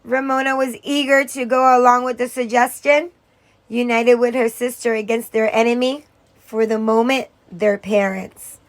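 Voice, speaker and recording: A woman teacher reading a children's story aloud in a deliberate, expressive storytelling manner. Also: a little high.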